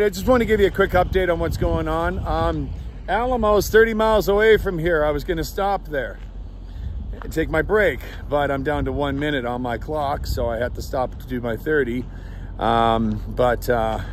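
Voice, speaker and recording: A man's voice: fairly high pitch, a bit bright, a little hiss or hum. A middle-aged man, a truck driver giving an earnest, rambling vlog update outdoors beside his parked truck, talking into a phone held at arm's length. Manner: chatty, rambling, earnest, with fillers. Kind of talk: casual roadside vlog update.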